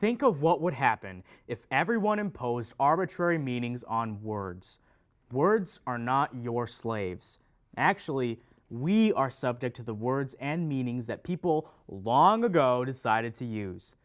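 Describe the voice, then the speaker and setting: Animated, pedantic male teacher, acted by a man. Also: medium pitch, a little slow, natural voice. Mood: pedantic, insistent. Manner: emphatic, stretched key words, didactic. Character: pedantic male teacher patiently correcting a student. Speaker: readers theater performer. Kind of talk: scripted instructional stage dialogue.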